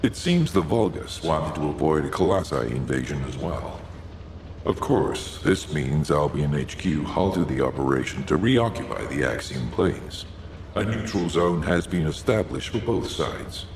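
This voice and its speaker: Very deep, gravelly solemn adviser. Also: male voice, expressive, measured pace, darkish tone, heavily acted. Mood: solemn, calm. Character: solemn, calm male adviser warning of the abyss. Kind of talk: video game voice acting. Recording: echoey with faint noise.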